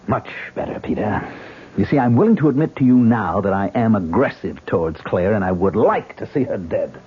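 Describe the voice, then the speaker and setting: An actor playing a tormented man who hears ghost voices in an old radio drama, agitated and husky. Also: lowish voice, moderate pace. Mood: tense. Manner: agitated, swinging between calm and outbursts. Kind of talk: 1970s radio drama acting. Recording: muffled lo-fi old radio broadcast.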